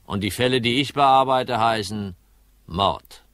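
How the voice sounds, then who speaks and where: An actor playing a gruff, weary hard-boiled insurance detective in a crime radio drama, dry and laconic. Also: male voice, mid-range voice, a little slow, fairly bright tone, no echo, soft background music, close to an everyday voice. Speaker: narrator and lead actor.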